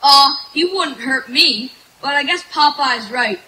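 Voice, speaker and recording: A woman's voice: high voice, unhurried, bright, forceful tone, a little hiss or hum, low-quality recording. A woman playing an eager, kind-hearted, innocent young child in an old-time radio comedy. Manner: wide-eyed, innocent.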